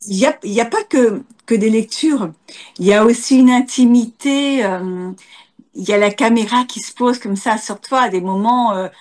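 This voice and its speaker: Lively woman interviewer. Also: fairly high pitch, normal speed. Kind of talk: interview questions and comments.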